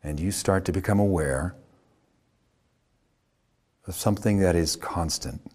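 A man with a husky voice leading a guided meditation as a yoga teacher, speaking calmly in short, unhurried phrases with long pauses. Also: low-pitched.

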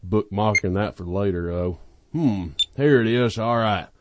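A man plays a laid-back technician in a comic audio drama. His voice sits fairly low and moves very slowly. He rambles casually and drifts off, a bumbler who is easily distracted. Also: noisy background, natural voice. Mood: rambling, distracted. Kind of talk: comedic audio drama dialogue.

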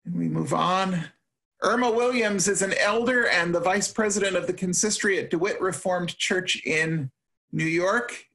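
Older man, forum moderator, measured pace, dry wit, fairly high voice, webcam call. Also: pushed and bright. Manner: measured, with dry self-deprecating asides. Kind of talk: hosting an online forum. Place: room at home. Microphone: webcam on a video call.

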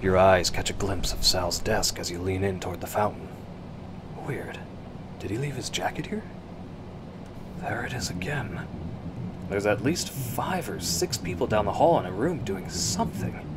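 A man narrating a suspense radio drama in the second person, with measured, hushed storytelling. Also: deep voice, animated, very noisy.

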